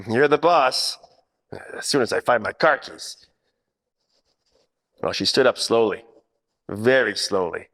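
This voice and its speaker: An actor playing a wisecracking, world-weary 1940s private eye, narrating in a wry, hard-boiled radio-parody style. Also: male voice, lowish voice, animated, slow pace, long pauses, fairly bright tone, full character voice. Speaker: actor narrating the lead part. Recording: long hall reverb, music underneath.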